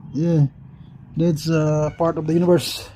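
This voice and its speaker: A man's voice: on the high side, flat delivery, a little slow, warm tone. A Filipino man, Bisaya his first language, rambling philosophically and laughing often in a casual chat to camera.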